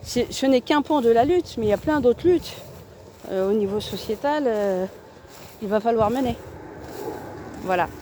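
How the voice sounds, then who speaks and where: A woman's voice: fairly high pitch, lively intonation, moderate pace, pauses often, warm tone, a little hiss or hum. A woman from France talking on an outdoor walking vlog, emphatic and repetitive, often restarting her words. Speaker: vlogger. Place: outdoors on a walk in a town.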